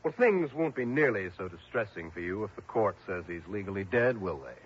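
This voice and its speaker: A low-voiced, wry private eye. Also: male voice, big pitch swings, a little fast, breathy edge. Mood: sardonic, sceptical. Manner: dry, sardonic, understated. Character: wry, sceptical male private investigator. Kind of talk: 1960s radio drama acting. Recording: dull, faintly noisy old radio recording.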